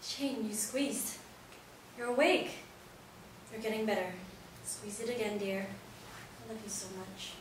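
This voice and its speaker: A woman's voice: on the high side, takes it slowly. An actress in a play reading playing a loving, worried mother, tender and reassuring at her son's bedside. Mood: hopeful, loving. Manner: tender, reassuring, simple explanatory phrases. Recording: echoey room, distant mic, noisy.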